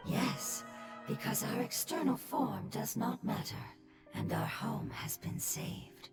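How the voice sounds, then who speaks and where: An actress playing a calm, motherly spirit who consoles others gently in a game cutscene. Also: on the high side, slow. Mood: consoling. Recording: echoey voice over loud game music.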